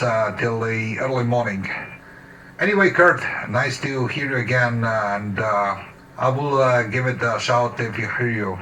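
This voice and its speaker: A man chatting casually as an amateur radio operator, halting and searching for words in English. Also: mid-range voice, pushed and bright. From Slovenia. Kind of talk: casual ham radio chat. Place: home radio shack. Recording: distant mic with faint background noise.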